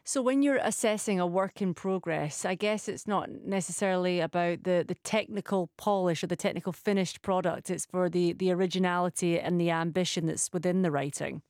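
A woman's voice: lowish voice, a little fast, few pauses, darkish tone, no room sound, studio quality. A woman radio interviewer reading a short intro and asking polite, probing questions. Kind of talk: scripted intro then conversational interview.

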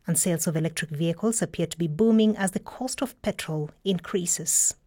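A woman presenting radio news, reading the headlines in a crisp, formal style. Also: on the low side, fairly quick, mellow, dry recording, clean studio sound.

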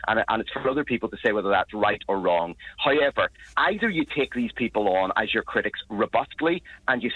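Male guest, lowish husky voice, forceful and emphatic, dry sound. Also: dry recording, phone-quality sound. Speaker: journalist guest. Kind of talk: radio interview.

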